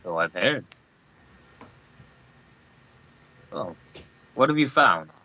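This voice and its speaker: An earnest, brooding local played by a man at a script table read. His voice sits very low yet has a bright, forceful edge. He comes across as loyal and serious. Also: animated, normal speed, lo-fi sound. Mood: eager.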